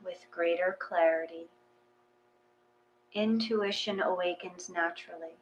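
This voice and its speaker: A woman reading a guided meditation in a gentle, unhurried, soothing way, with pauses between phrases. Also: on the low side, fairly even intonation, slight background noise, rough recording.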